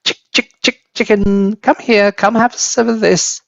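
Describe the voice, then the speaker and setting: Man doing an eager, high-pitched young boy. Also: fairly even intonation, unhurried, creaky, dry recording, dull audio, exaggerated voice. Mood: coaxing. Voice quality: shouting.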